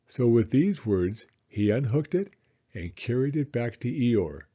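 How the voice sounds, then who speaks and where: Slow, mellow man reading a story. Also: mid-range voice, lively intonation, slightly rough, muffled recording. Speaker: story reader. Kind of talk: scripted children's story narration.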